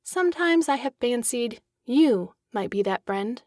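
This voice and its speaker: An elegant young society lady for an audiobook drama, with a slightly high, expressive voice. She is witty and coquettish, with a streak of discontent, captured close and dry. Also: measured pace, dry recording. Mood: frank, coaxing.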